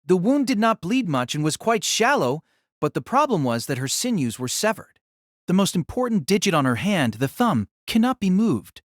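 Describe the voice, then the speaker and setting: A man tells a fantasy story in a steady, even narration. His voice is a touch high and the sound is dry and close, with no room around it. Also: moderate pace, no room sound. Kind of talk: scripted audiobook narration.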